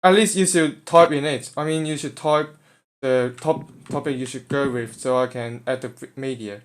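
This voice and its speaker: A man from Hong Kong with a Hong Kong English accent, hosting a live voice forum in a halting, self-correcting way while he sets up his stream. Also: mid-range voice. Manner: halting, restarting, fussing with his stream setup.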